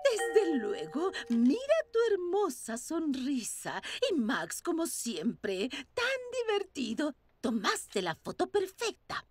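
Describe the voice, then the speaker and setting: A woman's voice: high voice, big pitch swings, takes it slowly, hardly any pauses, heavily acted. A woman voicing a kindly, delighted old grandmother bunny in a cartoon dub. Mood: affectionate. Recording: music behind the voice.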